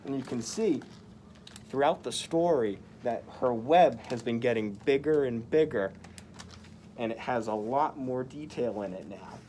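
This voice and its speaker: A storyteller reading a picture book aloud to children in a slow, animated way. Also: mid-range voice, slight background noise. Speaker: library story-time reader dressed as Spider-Man.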